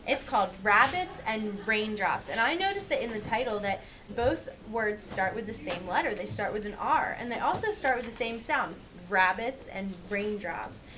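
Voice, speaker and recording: A woman reading a picture book aloud to young children, pausing to explain and point things out. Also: medium pitch, expressive, background noise. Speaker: reader teaching young children.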